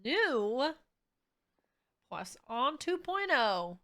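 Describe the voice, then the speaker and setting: A woman's voice: sits high, sing-song, drawn-out words, bright, forceful tone. A young woman giving casual, irreverent playthrough commentary as a game streamer, full of slang and swearing.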